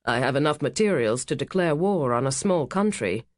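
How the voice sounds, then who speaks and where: A woman's voice: a bass voice, animated, normal speed, no echo, clean studio sound. A woman doing a hearty naval commander. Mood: wry, joking. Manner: loud, hearty and wry. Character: big, cheerful British naval commander, man.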